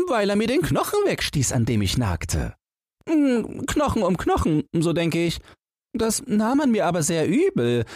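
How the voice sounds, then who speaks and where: A man voicing a grumpy, nostalgic old chained dog in a storybook, with a hoarse, barking, boastful voice. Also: high voice, a little mellow, dry recording, clean studio sound, voice pushed up, full character voice. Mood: bitter, grumbling. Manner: boastful, reminiscing, barking refrain. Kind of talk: storybook character voice.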